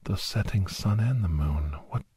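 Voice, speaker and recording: A man narrating a suspenseful first-person ASMR story in a hushed, half-whispered voice with dramatic pauses. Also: low voice, animated, husky, no room sound. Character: doctor recounting a monster-hunting adventure, grave and awed.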